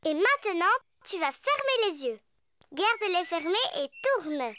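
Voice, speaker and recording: A performer voicing a bossy, excitable little girl pig in a French children's cartoon, perky and cheeky. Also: very high voice, measured pace, heavily acted.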